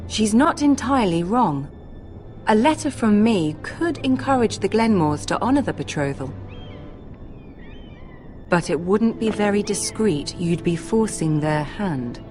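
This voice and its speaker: A woman's voice: mid-range voice, expressive, unhurried, a little mellow, faint music behind, lightly acted. An actress playing a shrewd young noblewoman in a fantasy game, refined and arch in manner. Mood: shrewd, guarded. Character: poised, shrewd young highborn lady. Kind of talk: dramatic video game acting.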